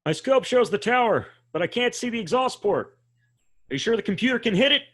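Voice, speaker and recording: A man acts out an excitable rebel pilot in a space drama. His voice is extremely high and worried. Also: fairly quick, exaggerated voice. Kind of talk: dramatic table read.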